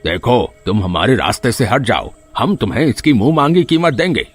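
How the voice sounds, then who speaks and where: Husky, ruthless circus villain played by a man. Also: mid-range voice, expressive, moderate pace. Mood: threatening. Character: ruthless adult male circus animal poacher. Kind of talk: dramatic animated story acting.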